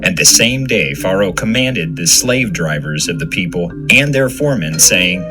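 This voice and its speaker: A man's voice: low-pitched, lively intonation, normal speed. A man narrating a dramatized scripture reading from a script. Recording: music under the voice.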